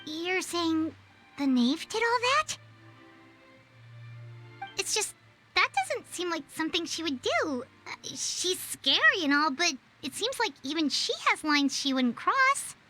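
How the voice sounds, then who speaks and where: Squeaky, excitable little fairy sidekick. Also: female voice, slow, faint music behind, full character voice. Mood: puzzled, concerned. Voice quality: squeaky, childlike. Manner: exclamatory, refers to self in third person. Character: small excitable childlike floating fairy companion, anxious and chatty. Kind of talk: video game voice acting.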